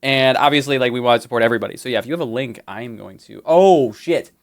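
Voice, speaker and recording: Fast-talking joking stream host man. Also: medium pitch. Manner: quick, joking, reads chat aloud. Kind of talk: casual livestream chat.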